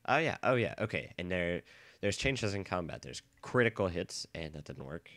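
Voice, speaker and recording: A young man with a bad cold and a nasal, stuffy voice, chatting casually and rambling excitedly while playing a game. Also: deep voice, normal speed, no echo. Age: young adult. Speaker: let's play gamer. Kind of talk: casual gameplay commentary. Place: dorm room.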